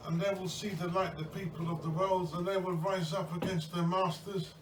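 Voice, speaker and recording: Casting: fervent wounded Red Army comrade male amateur acting, high male pitch, declamatory repetitions, distant roomy sound. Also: flat delivery, moderate pace, natural voice. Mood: zealous. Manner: repeats phrases, declamatory. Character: zealous wounded Soviet soldier, devoted to the motherland. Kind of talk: amateur improvised war role-play. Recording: echoey, distant, noisy room recording.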